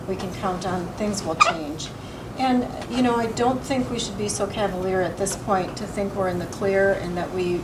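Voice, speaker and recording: Soft-spoken woman heard from across an echoey room. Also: medium pitch, very noisy. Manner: measured, informative, with frequent fillers. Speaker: public health official. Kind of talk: public comment at a meeting. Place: school board meeting room. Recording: echoey room audio from a distant mic.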